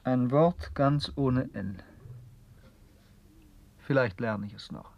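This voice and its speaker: An actor in a German radio drama playing a troubled, secretive, humorless man who speaks haltingly and earnestly. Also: medium pitch, creaky, lo-fi sound. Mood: struggling.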